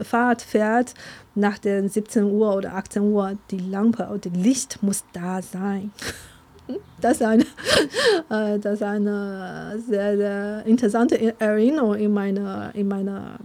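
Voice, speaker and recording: A woman's voice: mid-range voice, darkish tone. A young woman from China with a Chinese accent, answering interview questions, hesitant with many fillers and frequent laughs.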